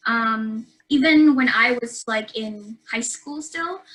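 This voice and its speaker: A young woman from the Philippines talks about her studies in a chatty, easygoing way. Her voice is bright and a little high. She fills her talk with small pauses and fillers. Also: poor audio. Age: young adult. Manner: chatty, with fillers and light laughs. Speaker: university student. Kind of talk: casual webinar introduction.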